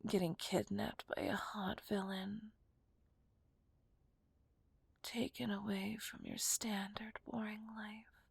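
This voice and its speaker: A woman playing a seductive, menacing villain kidnapper in a whispered ASMR roleplay, breathy, teasing and possessive. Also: medium pitch, little pitch movement, dry recording. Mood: teasing, dominant. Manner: slow, teasing, dominant.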